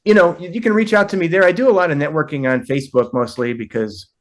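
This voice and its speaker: A man's voice: steady tone, quick pace. A man in his fifties, a podcast guest, chatting casually and rambling with self-deprecating asides. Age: 50s. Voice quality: deep voice. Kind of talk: casual chat.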